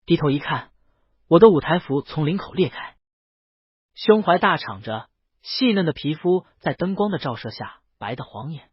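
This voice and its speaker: A man's voice: fairly high pitch, animated, moderate pace, breathy edge, no room sound, highs cut off. A man narrating a scripted first-person story as an audiobook.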